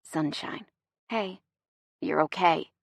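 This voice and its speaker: A woman voicing a bossy but warm and protective young boyfriend, soft-spoken and wry with an intense edge. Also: on the high side, lively intonation, normal speed, vocal fry, dry recording, studio quality. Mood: concerned. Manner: soft-spoken, wry, growling when strained.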